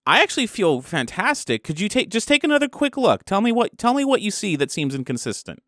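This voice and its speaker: A man takes part in improvised tabletop role-play. His pitch moves around a lot as he asks polite, tentative questions, recorded dry and close. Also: sing-song, brisk, a bit bright, dry recording. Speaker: tabletop game player.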